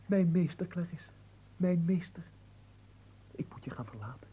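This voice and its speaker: An actor playing a lovelorn young manservant in a radio play, florid, evasive and poetic, stammering in melodramatic sorrow. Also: male voice, on the high side, lively intonation, moderate pace, warm tone, husky, low-quality recording. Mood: sorrowful.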